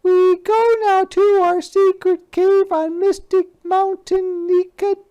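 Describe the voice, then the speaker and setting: A weird old magician out of a storybook, played by a woman, chants in rhyme with eerie glee. The voice is pushed far up into a shrill, loud register and keeps a steady singsong lilt. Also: slow, highs cut off. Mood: ominous chanting. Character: chanting band of weird old male magicians, eerily gleeful.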